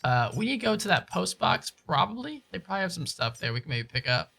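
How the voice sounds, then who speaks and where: Animated, higher-pitched man streaming a game. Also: fast talker, gravelly. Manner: informal, slangy, says dude and man often. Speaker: game streamer chatting with viewers. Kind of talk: casual live-stream chat and gameplay commentary.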